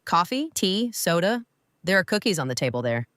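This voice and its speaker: An actress playing a gracious older woman, a grandmother and retired lawyer, talking in a measured, welcoming way. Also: lowish voice, very animated, a bit bright, dry recording, clean studio sound. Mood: calm. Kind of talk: audio drama acting.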